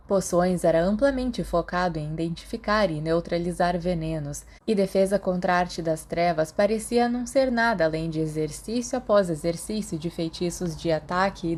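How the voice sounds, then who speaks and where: Woman, Brazilian accent, slightly low pitch, dark mellow tone, scripted story narration. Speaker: audiobook narrator.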